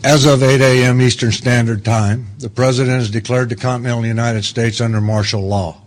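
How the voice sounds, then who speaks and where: A man plays a stern government secretary in a radio drama. The voice is mid-pitched and official, laying out orders in a firm, measured way. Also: steady tone, lightly acted. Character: stern Defense Secretary issuing martial law orders. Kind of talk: radio drama press briefing acting.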